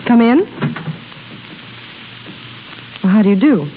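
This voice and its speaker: An actress playing a self-assured, playful young woman, the boss's daughter, with brisk 1930s radio drama delivery. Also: a little high, over music, natural voice. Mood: friendly, brisk. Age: young adult. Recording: scratchy, narrow 1930s radio recording.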